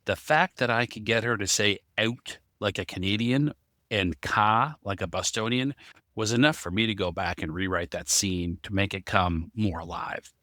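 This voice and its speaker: Bright, lowish-voiced man. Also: normal speed, no echo, clean studio sound. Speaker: podcast co-host. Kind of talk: conversational explanation.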